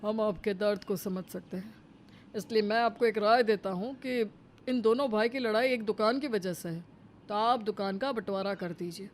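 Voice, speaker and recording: A woman voicing a sober male police inspector in a storybook drama, measured and reasoning. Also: mid-range voice, slight background noise, lightly acted. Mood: sympathetic, advising. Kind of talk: acted storybook dialogue.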